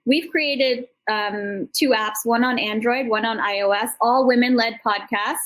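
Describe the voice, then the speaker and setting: A middle-aged woman with a slightly high voice talks as a panel host. Her delivery is informal and conversational, full of encouragement. She rambles effusively, moving between personal anecdotes and motivational appeals. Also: steady tone, unhurried, a bit bright. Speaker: host and founder speaking at an online summit panel.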